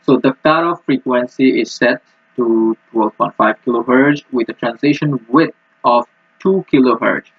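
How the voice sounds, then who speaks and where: A man giving a technical walkthrough as a student presenter, speaking in a halting, hesitant way. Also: mid-range voice, slightly rough. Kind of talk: technical screen-recording walkthrough. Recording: muffled, roomy lo-fi microphone.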